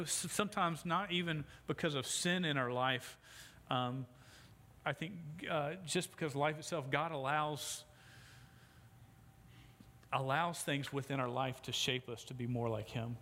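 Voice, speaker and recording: Stuttering pastor preaching in a hall. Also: male voice, mid-range voice, measured pace, long pauses, sharp tone. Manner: stutters, with repeated sounds and restarts. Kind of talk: sermon. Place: church sanctuary. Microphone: sound system microphone. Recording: long hall reverb, faint background noise.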